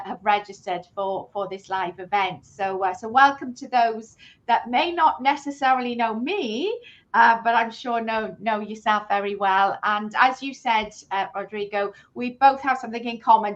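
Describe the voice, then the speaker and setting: A woman's voice: medium pitch, fairly bright tone, highs cut off. A woman with a British accent hosting a live webinar, chatty and enthusiastic, with many fillers. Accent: British English. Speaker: host.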